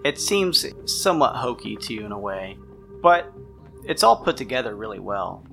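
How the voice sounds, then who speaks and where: A man's voice: lowish voice, very animated, moderate pace, creaky, sharp tone. A man narrating a tabletop mystery game as game master, in a conversational, descriptive way. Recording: music playing behind the voice. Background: background music.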